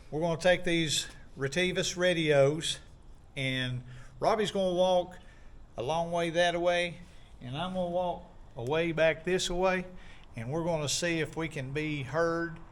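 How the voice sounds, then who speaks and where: A man walks through an unboxing in a relaxed, folksy drawl, pausing often with little fillers. His voice sits a touch high for a man, and he talks like he's outdoors in a park. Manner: relaxed, folksy, many "uh" pauses. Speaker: video host doing an unboxing. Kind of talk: casual unboxing walkthrough.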